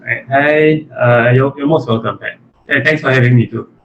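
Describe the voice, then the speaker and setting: A man's voice: medium pitch, fairly even intonation, normal speed, fairly bright tone. A man from Malaysia with a Malaysian accent, chatting casually as an interview guest. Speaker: interview guest, animation studio chief executive. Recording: muffled lo-fi audio with faint noise.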